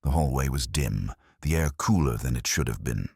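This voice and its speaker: Male audiobook narrator for hardboiled detective fiction; very low-pitched, expressive range, dry close sound. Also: moderate pace, gravelly, slightly rough, dry recording. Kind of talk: first-person hardboiled detective story narration.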